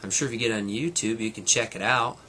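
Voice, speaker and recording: A man's voice: lowish voice, fast, sharp tone, a little hiss or hum. A man, a teacher, reading a children's storybook aloud to students with casual little asides.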